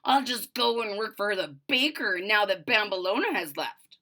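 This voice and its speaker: A woman voicing an eager, dim male village helper in a storybook, blurting and excitable, quick to sulk. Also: mid-range voice. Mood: sulky.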